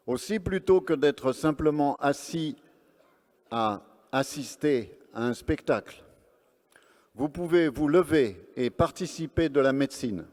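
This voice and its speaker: A man's voice: on the high side, lively intonation, unhurried, darkish tone. A man presenting and interpreting live at an event on stage, speaking earnestly phrase by phrase. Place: large event hall on stage. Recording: long reverb of a large hall.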